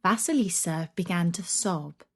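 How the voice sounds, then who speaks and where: A woman narrating a scary children's story in a deliberate, dramatic, expressive way. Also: lowish voice, slightly rough.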